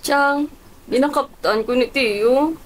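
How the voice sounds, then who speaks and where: A woman's voice: high voice, slow. A woman voicing a young employee who answers politely but uneasily and pleads to be left alone. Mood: pleading. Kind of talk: student radio drama acting.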